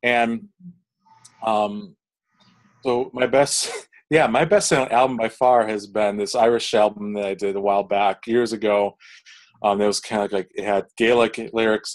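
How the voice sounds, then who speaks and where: A young man, a composer, answering interview questions in casual talk, rambling with many fillers and restarts. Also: lowish voice.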